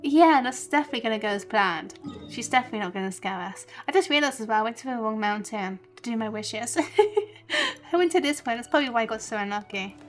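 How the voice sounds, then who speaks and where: A woman gives casual livestream commentary as she plays. Her voice sits fairly high, and her manner is chatty and giggly. Faint game music runs behind her. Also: expressive, fast talker. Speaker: game streamer.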